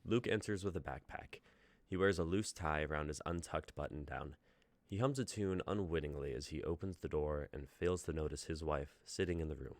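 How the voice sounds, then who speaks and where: Guy w a really deep voice reading stage directions, dry close sound. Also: normal speed, no echo.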